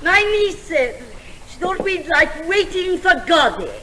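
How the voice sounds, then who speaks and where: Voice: very high voice, lively intonation, measured pace. A performer playing a genteel, innocent older lady with a wistful manner in a 1950s stage comedy sketch. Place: large theatre stage. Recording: noisy 1950s theatre recording.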